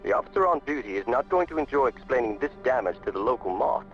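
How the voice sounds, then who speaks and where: A man playing an earnest, steady young rebel squadron leader in a video game cutscene, giving urgent military orders. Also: medium pitch, fast, close to an everyday voice. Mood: earnest briefing. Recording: muffled lo-fi game audio, music behind.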